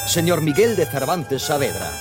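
A man's voice: on the high side, big pitch swings, a little fast. A man acting in a radio play as a courteous, proud Spanish guide escorting foreign guests. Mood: proud showing around. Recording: music behind the voice. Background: music.

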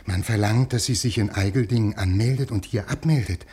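An anxious man of letters in an old radio play. His voice is lowish and husky. He speaks earnestly, pleading and explaining himself at length. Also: normal speed, warm tone. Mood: nervous, earnest. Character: anxious, pleading, scholarly male literary historian. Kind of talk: 1950s German radio play acting.

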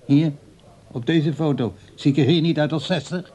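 Radio play, wistful older man, rough-edged voice, hesitant delivery, defensive streak. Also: a little high, normal speed, warm tone. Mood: tender, wistful. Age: older, over sixty. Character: lonely old man, wistful, defensive when accused.

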